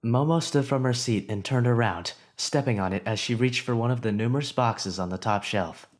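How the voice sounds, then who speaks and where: A man narrating a story in an expressive, scripted storytelling way. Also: mid-range voice, a little fast.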